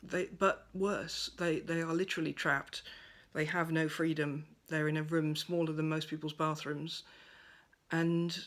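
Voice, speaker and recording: A woman giving a gentle, thoughtful monologue at home by a window, her voice soft and at times close to a whisper. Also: deep voice, level tone, unhurried. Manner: soft-spoken, thoughtful, drifts into near-whispers. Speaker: pagan speaker sharing reflections. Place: at home by a window over a garden.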